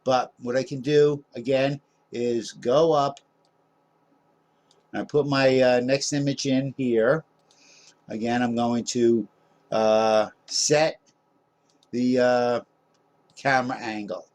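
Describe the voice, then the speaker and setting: A man casually narrating a screen-recorded software tutorial, rambling with many fillers and small jokes about himself. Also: mid-range voice, fairly even intonation, slow pace, pauses often. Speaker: tutorial host.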